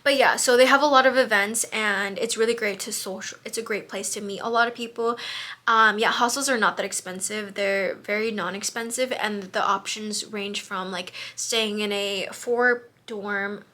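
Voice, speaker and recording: A woman's voice: medium pitch, steady tone, normal speed, bright, forceful tone. A young woman, a Mexican American whose first language is Spanish, giving casual travel advice in a chatty vlog, full of fillers and restarts. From United States. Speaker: travel vlogger.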